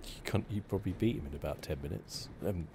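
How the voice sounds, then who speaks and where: A man giving relaxed ASMR game commentary, musing and rambling with a hesitant delivery. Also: deep voice, fast talker, noisy background. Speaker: gaming channel host.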